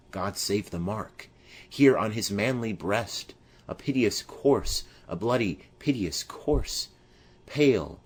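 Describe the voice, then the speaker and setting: A man's voice: fairly low pitch, husky, full character voice. A man voicing a distraught, wailing female servant who laments in repeated phrases, in a Shakespearean audiobook. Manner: lamenting, repetitive.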